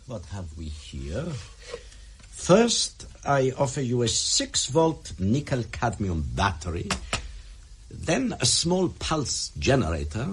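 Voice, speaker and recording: A man's voice: medium pitch, slight background noise. An actor playing a sadistic, coolly courteous Soviet doctor who lectures his victim with precise, menacing politeness in a radio drama. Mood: gloating, coolly menacing.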